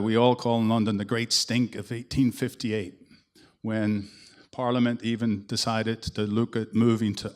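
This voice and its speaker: Northern irish guy presenting at a conference, lowish voice, laid back and chatty. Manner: relaxed, conversational, with fillers and restarts. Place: conference hall.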